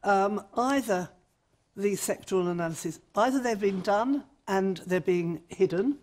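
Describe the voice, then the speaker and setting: A woman's voice: medium pitch, unhurried, darkish tone. Exasperated woman speaking up from an audience. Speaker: audience member. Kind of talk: spontaneous debate comment.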